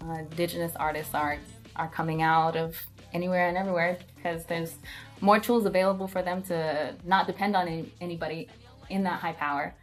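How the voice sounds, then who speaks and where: A woman, a singer, chatting casually in an interview, relaxed and laughing, with lots of fillers. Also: lowish voice, a little fast, faint music behind.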